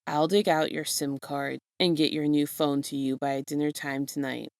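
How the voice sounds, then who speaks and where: A stern, tired male teacher, played by a woman in a story reading. He talks in a low, gravelly deadpan, measured and unimpressed. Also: no room sound, studio quality. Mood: firm, tired. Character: weary, stern adult male schoolteacher.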